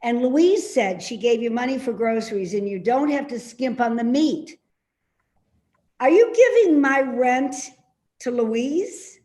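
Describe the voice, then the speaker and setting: A woman's voice: fairly high pitch, lively intonation, measured pace, mellow. An actress playing a fussy, suspicious older mother in a naturalistic play reading, with a pedantic, contrary way of talking. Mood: complaining, suspicious. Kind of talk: naturalistic readers theater acting.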